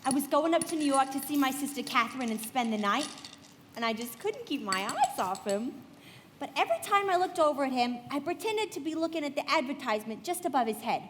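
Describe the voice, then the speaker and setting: A woman's voice: high-pitched, big pitch swings, a little fast, background noise. Brazen, lovestruck female, live radio drama; emotional, wide-ranging voice, hall reverb. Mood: infatuated. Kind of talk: live radio play acting.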